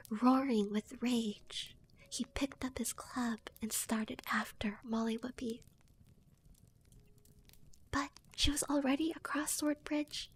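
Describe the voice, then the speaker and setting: A young woman streamer whispering a folk tale softly, giggling between asides to her chat. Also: on the high side, a little hiss or hum. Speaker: streamer and storyteller. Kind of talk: ASMR story reading.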